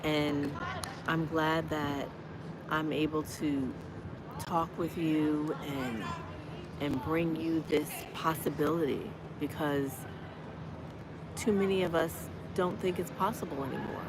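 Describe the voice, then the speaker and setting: Hoarse woman in her 50s encouraging outdoors. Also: deep voice, steady tone, slow pace. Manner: encouraging, chatty, preacher-like exhortation. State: hoarse from a cold. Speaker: motivational vlogger. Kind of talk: live-streamed walking vlog. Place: outdoors on a college campus. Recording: noisy outdoor street ambience.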